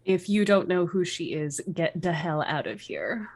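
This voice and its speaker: A woman's voice: fairly low pitch, lively intonation, moderate pace, a little mellow. A woman reading fan fiction author's notes as a gushing, touchy teenage goth girl. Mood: touchy, defiant.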